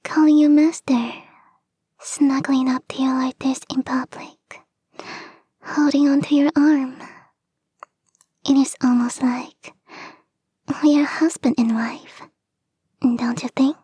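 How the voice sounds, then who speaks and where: A woman playing a clingy, teasing fox spirit girlfriend in an intimate ASMR roleplay, speaking in a soft, breathy whisper. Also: high-pitched, steady tone, takes it slowly, long pauses, no echo, full character voice. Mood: doting, teasing. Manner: coy, doting, playfully teasing. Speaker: voice actor in a roleplay.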